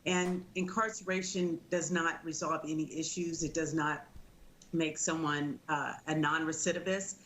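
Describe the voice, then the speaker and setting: A woman speaking as a legal commentator, giving measured analysis in a news interview. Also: low voice, steady tone, a bit bright, slight background noise. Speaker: legal commentator, defense attorney.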